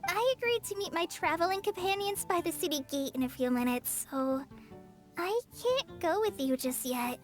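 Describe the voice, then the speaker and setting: High-pitched shy teenage girl. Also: animated, moderate pace, faint music behind. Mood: cheerful, polite. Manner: hesitant, earnest. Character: shy, polite teenage girl, a forest ranger's student. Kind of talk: anime game voice acting.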